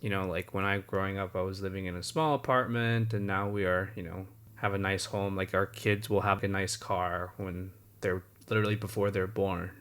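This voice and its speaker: A man's voice: deep voice, steady tone, normal speed, few pauses, a bit bright, clean tone. A young adult man co-hosting a podcast, laid-back with dry humor in casual chat. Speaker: podcast co-host.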